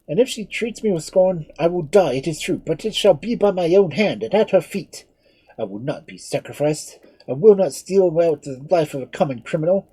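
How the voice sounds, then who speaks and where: A desperate, defiant young nobleman , acted by a man reading aloud, declaims loudly in a raised, swooping voice. The tone is breathy and mellow, with theatrical passion throughout. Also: on the high side, lively intonation, normal speed, dry recording, pitched above the performer's own voice. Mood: anguished. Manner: loud, declamatory and impassioned.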